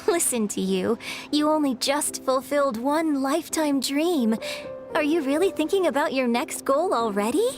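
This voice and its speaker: An actress voicing a graceful, gently teasing young female opera singer in a video game, with refined delivery. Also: high voice, animated, measured pace. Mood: teasing, amused. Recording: prominent music under the voice.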